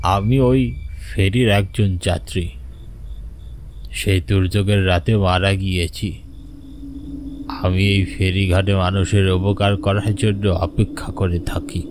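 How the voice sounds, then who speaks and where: A man voicing a grave old ghost in a horror cartoon, giving slow, solemn warnings in a deep voice. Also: fairly even intonation. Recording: noisy ambience behind the voice.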